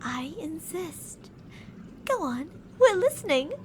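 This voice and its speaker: A woman's voice: very high-pitched, big pitch swings, takes it slowly, a little hiss or hum, exaggerated voice. A woman playing teasing, possessive female mad scientists in an ASMR roleplay, coaxing and domineering with sweet menace. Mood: playful, taunting.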